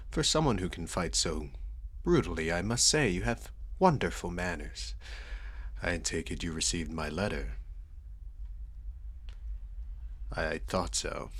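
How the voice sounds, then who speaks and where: A man's voice: low-pitched, fairly bright tone, husky, no room sound, full character voice. A man playing a seductive, sadistic vampire lord in an intimate ASMR roleplay, suave and formally polite with a teasing menace. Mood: suave, admiring. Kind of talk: ASMR roleplay, slow intimate dramatic delivery.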